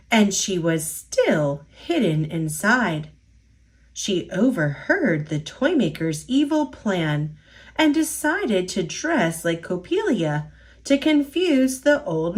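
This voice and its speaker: A woman reading a children's picture book aloud in an expressive storytelling voice. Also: lowish voice.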